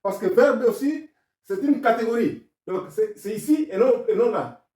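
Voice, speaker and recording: A man's voice: high voice, lively intonation, normal speed, dark tone, reverberant, recorded from a distance. Argumentative man with a non-native French accent. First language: not French. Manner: argumentative, insistent, explaining step by step. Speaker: self-taught grammar commentator. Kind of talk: explanatory video lesson.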